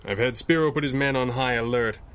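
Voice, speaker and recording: A man's voice: mid-range voice, a little fast, a bit bright, clean tone, close to an everyday voice. A man playing a stern, grave crime-fighting boss. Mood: stern, resolute. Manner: grave, clipped, formal. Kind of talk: 1930s radio adventure acting.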